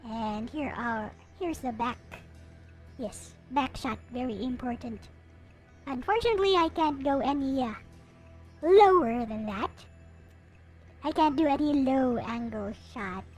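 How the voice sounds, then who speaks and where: A woman with a clearly high-pitched voice talks through a casual show-and-tell. Her delivery is playful and informal, like a streamer presenting a collection. Music plays in the background as she speaks. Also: unhurried, a little mellow. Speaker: streamer showing collectible anime figures.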